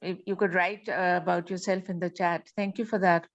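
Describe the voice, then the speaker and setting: A woman moderating an online meeting and introducing participants, speaking politely in a hesitant, halting way over a video call. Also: lowish voice, flat delivery, highs cut off, background noise. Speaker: meeting host.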